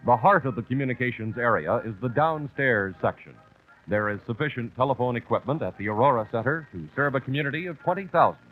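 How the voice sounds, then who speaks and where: A man's voice: medium pitch, expressive, fast, faint music behind. An American man reading scripted documentary narration on an old, muffled 1960s television soundtrack. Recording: muffled 1964 TV kinescope, narrow band.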